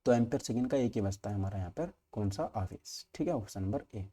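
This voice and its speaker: Man, lowish pitch, very mellow dark tone, informal teacher, explanatory, checks in often. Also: expressive, brisk, husky. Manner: explanatory, with frequent check-in tags and repeated points. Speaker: teacher in an online exam-preparation class. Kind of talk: informal lesson walking through multiple-choice questions.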